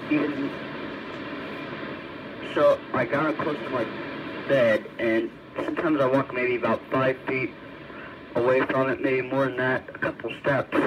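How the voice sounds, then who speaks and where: A man's voice: mid-range voice, dull audio. A man presenting a casual hands-on hobby video, rambling and thinking aloud as he works, recorded on a phone. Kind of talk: casual hands-on radio demonstration. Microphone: phone camera at varying distance. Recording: noisy phone recording with radio static. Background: shortwave radio noise and static.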